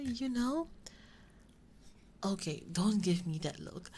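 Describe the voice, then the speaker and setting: A woman acts out a flustered young female novelist in an intimate ASMR roleplay. Her voice sits a little low and moves very slowly, soft and hesitant, rambling shyly as she justifies herself. A faint noise sits behind the close binaural recording. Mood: awkward, flustered. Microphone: binaural ASMR microphone.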